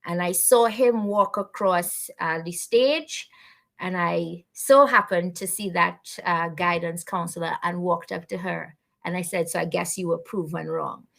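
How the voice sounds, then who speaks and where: A woman's voice: on the low side, a little slow, gravelly, fairly bright tone. A woman guest on a podcast, talking earnestly and reflectively, with frequent fillers and restarts. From Canada. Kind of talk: conversational podcast interview.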